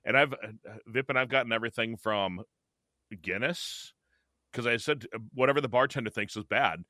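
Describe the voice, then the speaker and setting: A man's voice: mid-range voice, normal speed, bright, forceful tone, no room sound, studio quality. A man in his fifties co-hosting a podcast, chatting casually and often repeating himself. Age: 50s. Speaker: podcast co-host. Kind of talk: casual chat.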